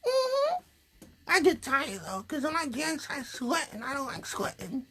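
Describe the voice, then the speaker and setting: A man voicing a sassy young girl puppet with cheeky, deadpan comebacks in a puppet show. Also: extremely high pitch, measured pace, pitched above the performer's own voice, full character voice. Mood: chatty.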